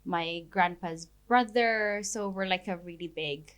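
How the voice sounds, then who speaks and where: A woman's voice: fairly low pitch, measured pace, a bit bright. A young woman from the Philippines, an Ilocano speaker, chatting casually as a podcast guest with many fillers. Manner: chatty, lots of fillers and laughter, Taglish asides. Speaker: ice cream business founder, guest.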